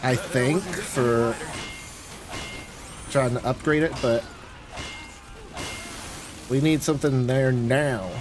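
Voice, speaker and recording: A man's voice: mid-range voice, slow pace, long pauses. A man streaming a video game, giving casual live commentary with mild, exclamatory grumbling. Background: video game sounds.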